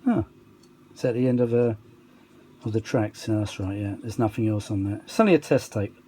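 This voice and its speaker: A man's voice: fairly low pitch, brisk, warm tone, slight background noise. A man giving a casual, rambling hobbyist demonstration, chatty and self-deprecating, with muttered asides. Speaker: vintage audio hobbyist presenting a restoration.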